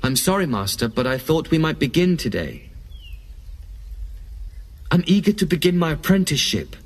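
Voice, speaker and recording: An actor playing an earnest young apprentice, urgent and pleading, in an audio drama. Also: male voice, fairly high pitch, sing-song, moderate pace. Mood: eager, impatient.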